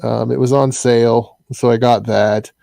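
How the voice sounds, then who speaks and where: Gravelly Iowa man, halting chat. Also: medium pitch, flat delivery, mellow, no room sound. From Iowa, US Midwest. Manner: halting, restarts often. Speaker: interview guest. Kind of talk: casual chat.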